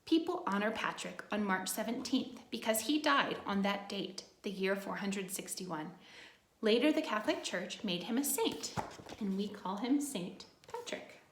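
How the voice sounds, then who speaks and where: A woman's voice: medium pitch, lively intonation, measured pace, roomy sound. A woman teacher reading a picture book aloud to young children, adding simple, encouraging asides as she goes.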